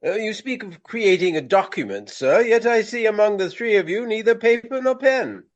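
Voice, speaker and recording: An actor playing a vain, fussy Regency gentleman in a radio play, speaking in florid, formal, hesitant phrases. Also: high voice, animated, normal speed, muffled recording. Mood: fussy, puzzled. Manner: pompous, florid, hesitant with formal archaic speech.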